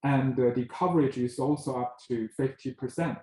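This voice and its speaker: A man giving an admissions info session presentation, halting with frequent filler pauses. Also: mid-range voice, darkish tone. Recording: echoey room.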